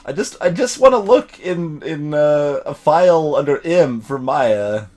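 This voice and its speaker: A man giving casual let's play commentary and reading dialogue, haltingly thinking aloud. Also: on the high side, lively intonation, a little mellow. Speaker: let's player voicing game characters. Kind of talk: casual gameplay commentary mixed with read dialogue.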